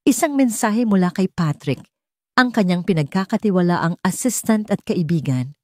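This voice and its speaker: A Filipino woman narrates a dramatic story in audiobook style. Her voice sits on the low side for a woman, and the sound is dry and close, with little room to it. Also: expressive, normal speed, a little mellow, dry recording.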